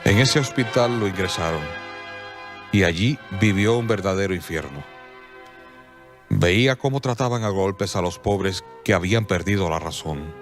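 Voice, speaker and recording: Male narrator, low voice, measured and solemn, faint music. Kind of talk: scripted radio drama narration.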